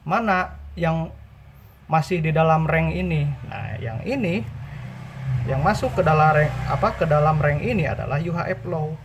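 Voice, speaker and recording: A man's voice: on the high side, lively intonation, a little slow, background noise. A man gives an informal tutorial, explaining patiently by repeating and rephrasing his points. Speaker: presenter giving buying tips.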